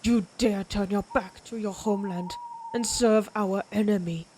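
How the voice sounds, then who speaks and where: A woman's voice: mid-range voice, steady tone, a little mellow, a little hiss or hum, natural voice. A woman playing a proud, menacing vampire warrior of ancient Germanic tribes in a dramatic audio roleplay, speaking in a taunting, predatory way. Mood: outraged. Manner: slow, taunting, theatrical, predatory.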